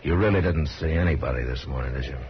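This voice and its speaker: Grave old-time radio western marshal, extremely low voice, terse and measured, muffled recording with clear hiss. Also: male voice. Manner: terse, measured, laconic questioning. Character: calm, grave frontier lawman questioning suspects. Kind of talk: 1950s radio western acting.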